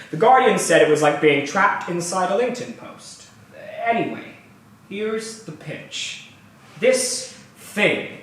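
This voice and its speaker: An actor, a man, playing a glib, excitable tech founder in a staged reading, showy and sardonic in manner. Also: sits high, heavily acted. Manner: showy, sardonic, flustered asides. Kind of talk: theatrical monologue, staged reading. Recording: very echoey room, distant mic.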